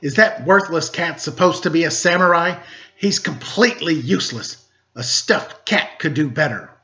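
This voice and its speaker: A man's voice: fairly high pitch, lively intonation, moderate pace, bright, forceful tone, lo-fi sound. A man voicing a proud, impatient feudal lord in a storybook tale, haughty and emphatic. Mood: indignant.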